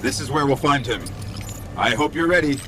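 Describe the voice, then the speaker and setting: A man voicing a small robotic companion in a video game, a calm guide who talks in a measured, dryly informative way. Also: medium pitch, music in the background, lightly acted. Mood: focused, alert. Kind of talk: scripted game voice acting, mission narration.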